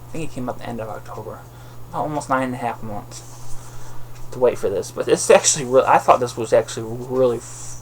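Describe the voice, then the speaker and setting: A man with a lisp giving a casual, rambling movie review, halting and often correcting himself. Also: mid-range voice, a little hiss or hum, rough recording. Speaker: vlogger reviewing DVD purchases.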